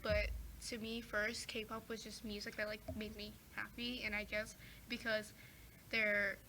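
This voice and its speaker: A woman's voice: mid-range voice, flat delivery, a little slow, bright, forceful tone, slight background noise. A teenage girl talking casually as a guest, full of "like" and "um" and restarting her sentences. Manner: chatty, many fillers and restarts. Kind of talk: casual chat.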